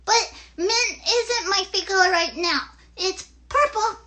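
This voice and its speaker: A chatty, excitable little girl puppet for a children's show. The voice is extremely high and bright. The talk is unhurried, eager and rambling, just like a young child's. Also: exaggerated voice.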